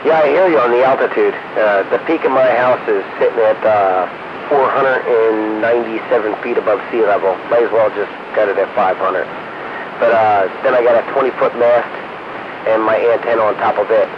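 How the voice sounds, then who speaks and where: Brief: male radio hobbyist, lower-pitched, casual and unhurried chat, muffled two-way transmission with light noise. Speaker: radio operator.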